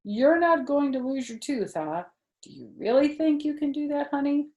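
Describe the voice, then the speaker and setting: A woman voicing a gentle, doting old grandfather in a children's storybook, affectionate, folksy and questioning. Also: sits high, animated, moderate pace, echoey room, lo-fi sound, voice pushed up. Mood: doubtful.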